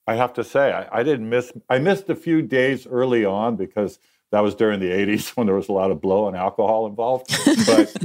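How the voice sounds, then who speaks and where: A man's voice: on the low side, brisk, darkish tone. A man in his sixties, a TV director and guest, telling stories in a rambling, candid, self-correcting way. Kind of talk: candid conversational storytelling.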